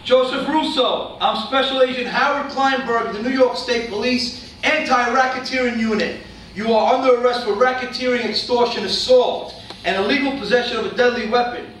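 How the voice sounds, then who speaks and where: A man's voice: extremely high pitch, normal speed, fairly bright tone. A man playing a commanding, official police special agent in a staged play reading. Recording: very echoey room, distant mic, faint noise.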